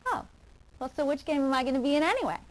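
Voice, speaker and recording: High-voiced, guileless pestering young woman. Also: expressive, very fast, low-quality recording. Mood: puzzled, suspicious. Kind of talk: comedy skit acting.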